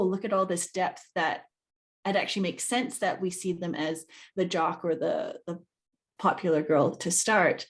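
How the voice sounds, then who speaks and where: Animated woman author in conversation. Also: on the low side. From New Zealand. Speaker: guest author. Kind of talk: conversational interview.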